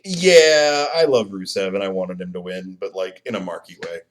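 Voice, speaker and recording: A man co-hosting a podcast in casual chat, animated and profane, laughing often. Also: deep voice, moderate pace. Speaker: podcast co-host.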